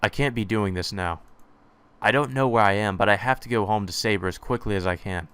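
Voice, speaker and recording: A man hosting a let's play, reading a visual novel's first-person text aloud in a measured, slightly hesitant way. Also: lowish voice, fairly bright tone, clear, resonant tone.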